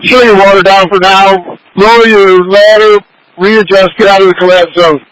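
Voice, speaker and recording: A man's voice: high-pitched, steady tone, moderate pace, a bit bright, slight background noise, poor audio. A man working as a fire officer, giving terse operational orders over a two-way radio.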